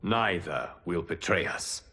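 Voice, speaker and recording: An actor playing a wise, solemn guardian god in a video game, giving formal, authoritative counsel in measured phrases. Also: male voice, sits low, animated, background noise. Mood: firm counsel. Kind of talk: video game cutscene acting.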